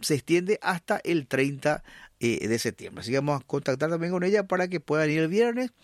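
A man's voice: fairly high pitch, animated, a little fast, no room sound, studio quality. Paraguayan male radio host. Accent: Paraguayan Spanish. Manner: hesitant with many fillers and restarts, then reading news steadily. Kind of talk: talk radio hosting and news reading.

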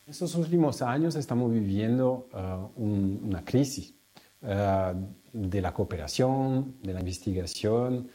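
A male researcher speaking in a halting way, with many fillers and restarts. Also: on the low side, expressive, mellow. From Italy. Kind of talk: interview remarks.

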